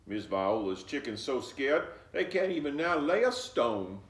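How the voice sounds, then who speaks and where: A man's voice: medium pitch, very animated, unhurried, voice pushed up. A man voicing a bossy, knowing old grandmother in a children's storybook, folksy and emphatic. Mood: grumbling. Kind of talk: children's storybook read-aloud. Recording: roomy echo, distant mic.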